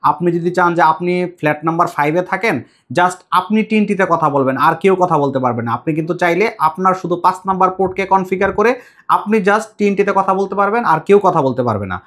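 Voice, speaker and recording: Bangladeshi guy doing a product demo, talks really fast, voice a bit high, explains stuff and repeats himself. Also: fairly bright tone. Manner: explanatory, repetitive. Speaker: shop presenter demonstrating intercom equipment. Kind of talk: product demonstration to viewers.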